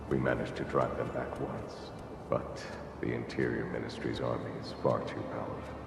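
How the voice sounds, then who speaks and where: Solemn resolute game warlord, gravelly bass, cavernous and distant, noisy. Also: male voice, flat delivery, moderate pace, full character voice. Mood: grim, resolute. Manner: solemn, stern. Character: grim, resolute young samurai lord. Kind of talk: video game voice acting. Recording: echoey hall, far off, loud rumbling noise.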